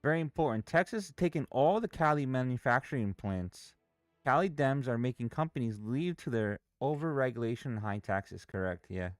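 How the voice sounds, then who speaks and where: A man's voice: mid-range voice, expressive, moderate pace, no room sound, studio quality, soft background music. A man streaming live trades, talking casually, restless and impatient, swearing freely as he talks. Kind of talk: casual live trading commentary.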